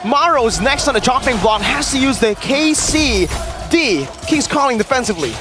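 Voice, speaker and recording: An esports play-by-play caster with a very animated voice that swoops up and down. The delivery is excitable and breaks into shouting on big plays, with music clearly playing behind it. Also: high-pitched, moderate pace. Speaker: esports commentator. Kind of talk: live play-by-play game commentary.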